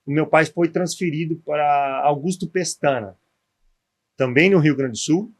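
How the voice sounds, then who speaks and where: A gaúcho man in his forties, a former athlete, recounts his upbringing in a podcast interview. His voice sits a bit high for a man. He keeps checking in with tag questions as he tells the story. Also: normal speed. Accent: gaúcho Portuguese. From southern Brazil. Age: 40s. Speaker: guest, former pro footballer.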